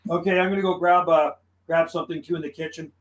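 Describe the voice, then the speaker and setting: A man's voice: a little high, level tone, fast talker, slight background noise. A man chatting casually and making radio calls on a live stream, easygoing and friendly, often saying man and buddy. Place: radio room inside his house.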